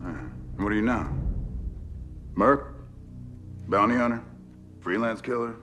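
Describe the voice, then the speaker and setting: An actor playing a brash, wisecracking masked mercenary in a video game, cocky, casual and quick to swear. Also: male voice, on the low side, a bit bright, faint music behind. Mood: casual, wry. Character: brash, wisecracking male masked mercenary, gung-ho under fire. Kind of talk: video game cutscene acting.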